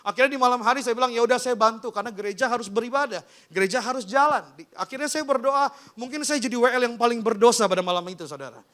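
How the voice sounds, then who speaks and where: A man's voice: very high-pitched, rapid-fire, a bit bright. A man, a pastor sharing a personal testimony in an informal storytelling way, often addressing his congregation directly.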